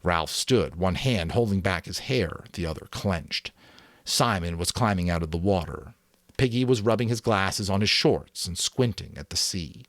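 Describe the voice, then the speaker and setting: A man giving a dramatic audiobook reading, narrating in an expressive storytelling manner. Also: deep voice, moderate pace, no room sound, studio quality.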